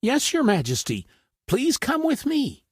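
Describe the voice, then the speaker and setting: A man's voice: very high-pitched, huge pitch swings, a little slow, slightly rough, no room sound, clean studio sound, pitched above the performer's own voice, exaggerated voice. A man voicing a small, timid but clever rabbit who speaks in a deferential, pleading manner in a fairy tale.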